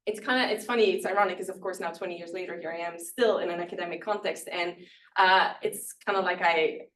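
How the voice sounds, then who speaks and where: A woman's voice: fairly low pitch, fairly even intonation, fast, a bit bright. Belgian professor woman lecturing, mic a bit distant. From northern Belgium. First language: Dutch. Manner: conversational, self-deprecating, many fillers and small laughs. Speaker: professor giving award lecture. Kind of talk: public lecture. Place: lecture room.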